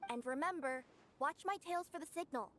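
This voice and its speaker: A woman's voice: high voice, a little fast, a bit bright, a little hiss or hum. A woman voicing an earnest, flustered young fox-tailed courier girl in a video game, polite and prone to stammering. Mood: planning. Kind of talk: video game character acting.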